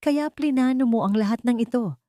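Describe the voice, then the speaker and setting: A woman voicing a serious, guarded but considerate rich man in a romance story, speaking in a measured way. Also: a little high, warm tone, dry recording, clean studio sound, voice pushed up, lightly acted. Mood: stunned, confronting.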